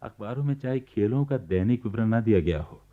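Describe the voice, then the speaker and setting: A man playing the reflective narrator of a radio play, telling the story in a measured, sympathetic way. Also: fairly low pitch, lively intonation, lightly acted. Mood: storytelling. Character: reflective male narrator, sympathetic observer of grandmother. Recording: tinny, narrow-band archival radio recording.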